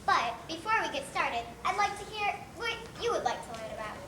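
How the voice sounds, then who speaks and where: A woman playing a prim, dismissive fish schoolteacher, brisk and stern, in a children's stage play. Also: extremely high pitch, sing-song, far from the mic. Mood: brisk, formal. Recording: echoey, noisy hall from afar.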